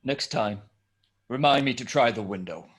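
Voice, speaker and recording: A man's voice: medium pitch, moderate pace, slightly rough, lightly acted. A man in a script table read, playing a terse, brooding masked hero with a clipped, dry, commanding manner.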